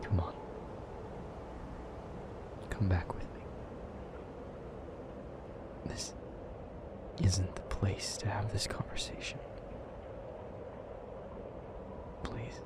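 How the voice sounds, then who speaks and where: A man playing a weary, brooding but protective demon in an intimate audio roleplay, speaking in a husky half-whisper with halting, formal phrasing. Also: fairly low pitch, steady tone. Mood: weary, gently urging. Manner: slow, halting, formal phrasing with heavy pauses. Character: weary, brooding male demon, gravely guarded yet protective.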